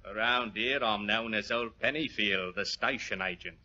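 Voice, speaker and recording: Sharp-voiced, gloating villain. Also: male voice, on the low side, normal speed. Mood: smug, courteous. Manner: mock-courteous, theatrical. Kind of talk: 1940s radio acting. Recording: muffled vintage radio recording.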